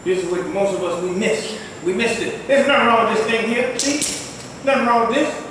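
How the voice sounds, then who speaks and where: An older imam delivers an emphatic Friday sermon in a high, climbing voice, his first language not Arabic. He sounds distant from the microphone, his words ringing through a large, echoing prayer hall. A faint rumble sits underneath. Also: moderate pace, fairly bright tone. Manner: emphatic preaching with rising exclamations. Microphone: distant mic in a mosque. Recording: echoey hall, far from the mic, faint rumble.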